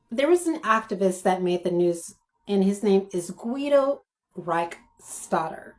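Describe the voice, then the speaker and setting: A hoarse woman hosting her own commentary channel, speaking to her viewers in a halting, thoughtful way with many pauses and restarts. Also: fairly low pitch, a little mellow. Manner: addresses viewers directly. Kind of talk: spoken video commentary.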